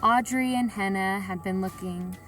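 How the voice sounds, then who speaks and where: A woman's voice: medium pitch, normal speed, a bit bright, distant mic, lightly acted. An actress as a plain-spoken woman recalling an uncanny outing in an audio drama. She is colloquial, musing and confiding, a little off the mic over faint music. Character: plain-spoken, reflective female sightseer telling her uncanny story. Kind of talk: first-person audio drama narration.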